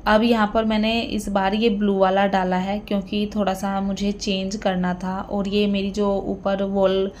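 Thirties woman doing a chatty home vlog voiceover, pretty flat tone, lots of fillers, faint hiss behind. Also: medium pitch. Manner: chatty, unhurried, many fillers and tag questions. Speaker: vlogger. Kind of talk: voice-over narration of a home vlog.

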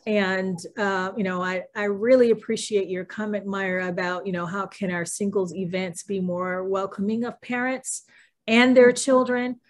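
A woman's voice: mid-range voice, steady tone, normal speed. A middle-aged woman leading a church group discussion over a video call from a room at home, encouraging and full of fillers. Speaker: ministry group leader. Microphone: video call, laptop camera.

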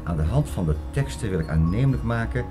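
Over a prominent music bed, an actor plays an earnest male theorist with a very low voice. He lectures insistently, setting out his ideas like a zealous teacher in a radio play. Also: expressive, fast talker, lightly acted. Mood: didactic, insistent. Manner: emphatic, didactic, insistent. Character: earnest male theorist expounding an apocalyptic conspiracy. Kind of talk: Dutch audio drama acting, lecturing monologue.

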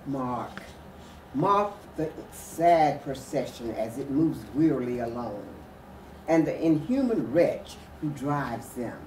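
Older woman reading a speech real emphatic, mellow slow voice, roomy far mic. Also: a bass voice, lively intonation. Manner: emphatic, declamatory. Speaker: readers theatre performer. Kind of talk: dramatic oratorical reading of a speech. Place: furnished sitting room, indoors. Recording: roomy, distant mic with faint noise.

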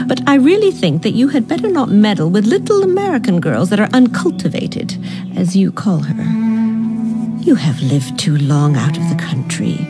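A woman's voice: mid-range voice, natural voice. A woman playing a haughty, disapproving upper-class aunt in a period radio drama, speaking with formal, dry disdain. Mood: stern.

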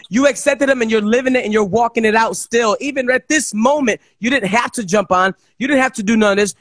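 A man's voice: high voice, a bit bright, dry recording, clean studio sound. A man hosting, speaking in fervent, emphatic preacher style as he prays and exhorts over a guest. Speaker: host praying over a guest. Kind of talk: fervent impromptu prayer and exhortation.

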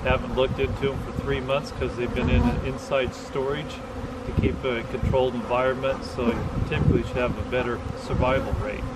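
An older man, a commercial beekeeper, chatting casually about his work, plainspoken and relaxed with frequent fillers, in his truck and out among the hives. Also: medium pitch, brisk, very noisy. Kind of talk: casual vlog chat. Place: inside a pickup truck and outdoors in an orchard.

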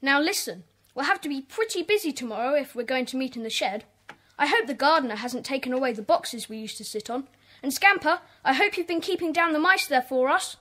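An actress playing a bossy, earnest schoolboy club leader, brisk and commanding, in a children's audio drama. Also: female voice, sits high, expressive, fairly bright tone.

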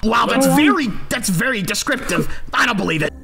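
A man's voice: extremely high pitch, animated, brisk, creaky, over a noisy crowd, heavily acted. A man playing a bombastic, petty middle-aged mad scientist villain in an improvised comedic cartoon fandub, ranting theatrically and swearing. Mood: scornful. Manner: ranting, theatrical, foul-mouthed.

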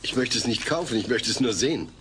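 Man acting patient worried family guy, polite n hesitant, pitch moves a lot, noisy audio play. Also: animated, fast, close to an everyday voice. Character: mild, patient, anxious middle-aged family man. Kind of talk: dubbed sitcom audio play. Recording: noisy tape with background ambience.

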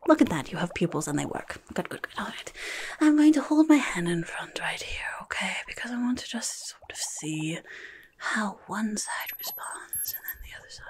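A woman voicing a rude, impatient goblin clinic helper in a whispery, creaky ASMR roleplay, muttering briskly and sarcastically up close. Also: mid-range voice, a little mellow, slight background noise. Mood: busy, muttering. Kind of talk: ASMR roleplay, chaotic medical exam. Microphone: close-up microphone.

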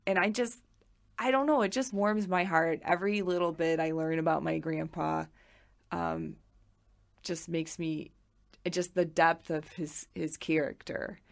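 A woman's voice: lowish voice, creaky. A woman, a podcast guest, fondly and reflectively recalling a family member in casual chat. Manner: fond, reflective. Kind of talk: casual storytelling.

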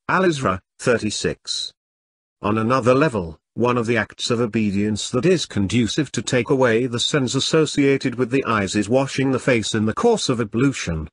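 A man's voice: medium pitch, breathy edge, no room sound. Man reading a formal sermon, dry sound. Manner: measured, formal delivery of a written sermon. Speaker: preacher. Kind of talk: Friday sermon read in English translation.

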